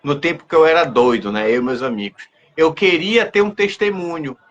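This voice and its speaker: A man's voice: fairly high pitch, animated, moderate pace, fairly bright tone, highs cut off. A man hosting a casual interview, speaking informally and often repeating the openings of his phrases. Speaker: podcast host.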